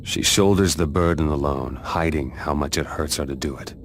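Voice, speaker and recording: A stoic video game warrior with an extremely deep voice. He speaks with measured, earnest resolve, urging a comrade on. Clear background noise and ambience sit behind him. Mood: grave, insistent. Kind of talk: dramatic video game cutscene acting.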